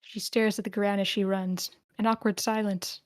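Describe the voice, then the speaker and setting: A woman's voice: medium pitch, flat delivery, moderate pace, smooth, no room sound, studio quality. A woman reading stage directions as narrator, in scripted narration at a screenplay table read.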